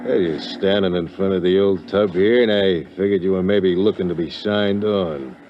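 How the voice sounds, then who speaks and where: Casting: man playing tough seaman, very low voice, clipped and offhand, muffled old radio drama. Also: normal speed, slight background noise, lightly acted. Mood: offhand, wry. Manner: clipped, casual, slangy. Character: laconic, tough waterfront sailor recruiting hands. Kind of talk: 1950s radio drama acting. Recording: muffled vintage radio recording, music behind.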